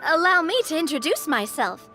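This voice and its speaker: A woman's voice: high-pitched, sing-song, normal speed, bright, forceful tone, soft background music, heavily acted. A woman playing a confident, friendly young monster hunter in an anime game, upbeat and encouraging. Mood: confident, inviting.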